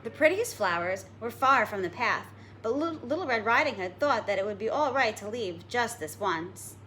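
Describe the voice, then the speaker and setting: A woman schoolteacher giving a read-aloud lesson for children, explaining simply and deliberately. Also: on the high side, lively intonation, fairly bright tone, a little hiss or hum. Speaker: elementary school teacher.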